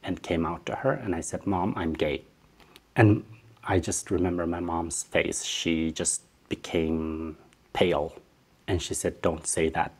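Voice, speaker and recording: Male interviewee, low voice, recounting his own life candidly, voicing quoted exchanges as he goes. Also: steady tone, a little slow, darkish tone, slightly rough. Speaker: interviewee giving personal testimony. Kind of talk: personal life testimony to camera.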